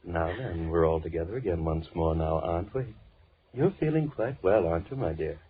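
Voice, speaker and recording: A man's voice: sits low, expressive, normal speed, husky, full character voice. An actor playing a mad, sinister man in an old-time radio horror drama, speaking with unctuous, mock-tender menace and repeating his words. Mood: gloating patience. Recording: tinny, band-limited old radio recording, background voices.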